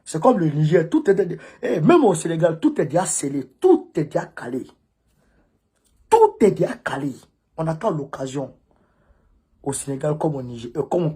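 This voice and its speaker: A man with a West African French accent delivering an informal political monologue as a commentator, emphatic and repeating phrases for stress, with a husky voice. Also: fairly high pitch, lively intonation, moderate pace, dark tone.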